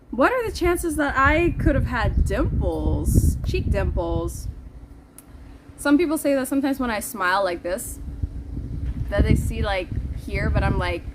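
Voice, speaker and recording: Casting: woman vlogger, fairly high voice, chatty and playful, some background noise. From Netherlands. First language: Dutch. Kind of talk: casual vlog chat to viewers. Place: outdoors in the heat.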